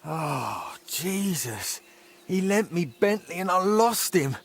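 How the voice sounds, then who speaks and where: Self-pitying young craftsman's helper for a video game, high and animated, whiny and faltering. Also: takes it slowly, a little hiss or hum, heavily acted. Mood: miserable, defensive. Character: Pushko, woeful, self-pitying young bell-founder's helper, man.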